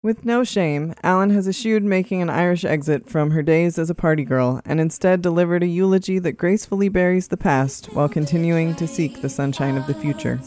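A narrator reading a scripted album review in a measured, written style. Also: mid-range voice, a little mellow, soft background music.

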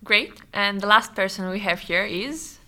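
Female interviewer, mid-pitched, crisp tone, moderating a group conversation. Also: lively intonation, a little slow, sharp tone. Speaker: interviewer, podcast host. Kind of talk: moderating a discussion.

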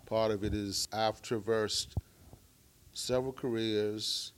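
A middle-aged man from Baltimore, Maryland, who stutters, speaking in a deliberate, measured way at a live talk. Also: lowish voice, steady tone, slight background noise. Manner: deliberate, measured speech using stuttering techniques. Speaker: speaker sharing his story.

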